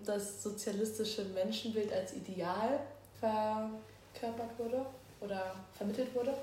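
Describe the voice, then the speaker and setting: A woman's voice: medium pitch, steady tone, takes it slowly, warm tone, slight background noise. Young woman asking questions in an echoey room. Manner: hesitant, rephrasing questions. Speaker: student podcast host. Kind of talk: interview questions.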